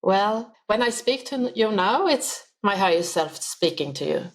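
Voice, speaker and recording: A woman's voice: mid-range voice, expressive, measured pace. A woman being interviewed as a spiritual healer, explaining her ideas earnestly in simple, uneven English phrasing. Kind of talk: podcast interview answers.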